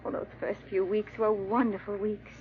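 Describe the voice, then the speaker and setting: A woman's voice: mid-range voice, flat delivery, moderate pace, lightly acted. A woman playing an anxious young French bride in a 1940s radio drama, with formal, theatrical diction. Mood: wistful recollection. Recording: dull, muffled old radio recording.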